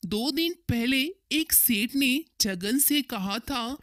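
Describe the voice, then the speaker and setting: A woman's voice: sits high, lively intonation, takes it slowly, a bit bright, no room sound, clean studio sound. A woman narrating a scripted cartoon story as a storyteller.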